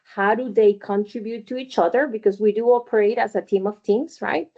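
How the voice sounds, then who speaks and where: A woman's voice: medium pitch, a little fast, highs cut off. A middle-aged woman gives a conversational career talk on a video call, with many fillers and "right?" tags. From Venezuela. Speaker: webinar panelist.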